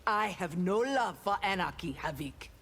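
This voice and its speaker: An actress playing a stern, disdainful warrior in a video game, cool in delivery. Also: female voice, fairly low pitch, animated, normal speed, a bit bright, husky, big-room sound, heavily acted. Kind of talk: video game fighter dialogue.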